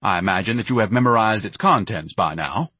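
A man's voice: lowish voice, lively intonation, a little fast, bright, forceful tone, breathy edge, dry recording, exaggerated voice. A man voicing a pompous, bombastic, indignant grown son who declaims haughtily and theatrically in an audiobook. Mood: scornful.

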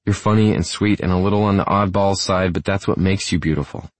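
Man acting gruff male lover, audiobook dialogue, deep, husky, blunt, protective, smitten, dry. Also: fairly even intonation, brisk, no room sound, lightly acted. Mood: tender, firm. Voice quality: husky, low.

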